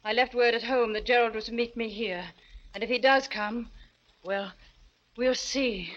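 A woman's voice: on the high side, fairly even intonation, normal speed, muffled recording, lightly acted. An actress playing a fierce, jealous woman in an old-time radio drama, with an intense, demanding manner. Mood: agitated. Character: fierce, jealous, agitated woman under a charming surface.

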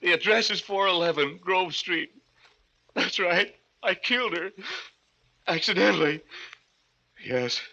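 An actor playing an anxious, wronged husband in an old-time radio drama, with an urgent, bewildered and pleading manner. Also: male voice, high voice, animated, a little slow, gravelly, long pauses, pushed and bright, heavily acted. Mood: shaken resolve. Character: anxious husband, framed friend, agitated and desperate. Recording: muffled, band-limited old radio recording.

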